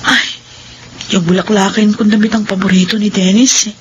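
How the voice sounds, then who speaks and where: An actress playing a young widow in a radio drama, expressive and thinking aloud as she struggles to move on. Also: moderate pace, slight background noise, lightly acted. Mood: hesitant. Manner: high, expressive, talks to herself. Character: young widow torn by grief, sweet and unsure. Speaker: lead character, young widow.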